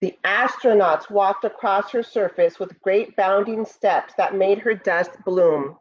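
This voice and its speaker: A woman's voice: mid-range voice, unhurried, dull audio. A woman reading a picture book to young pupils, expressively and with direct asides to her listeners. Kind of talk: children's read-aloud with asides.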